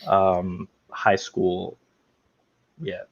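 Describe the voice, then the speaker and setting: A man's voice: deep voice, completely flat delivery, extremely slow, gravelly. A young adult man, a guest actor, talking casually in a rambling way with many fillers and restarts. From United States, raised in New York and South Florida. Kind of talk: casual interview chat. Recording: slightly dull, lo-fi sound.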